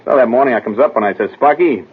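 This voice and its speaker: A defensive baseball player in a vintage radio play. He talks in brash, ungrammatical slang with a husky voice. The recording is thin and boxy. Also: medium pitch, fast talker. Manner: slangy, uneducated grammar. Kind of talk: 1940s radio drama acting. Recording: tinny old radio broadcast.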